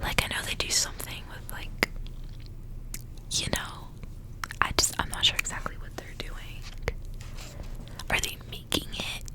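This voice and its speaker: A young woman whispering in a breathy, intimate ASMR style, softly reading and chatting. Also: unhurried, long pauses, poor audio. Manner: soft, intimate narration with casual asides. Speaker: ASMR reader.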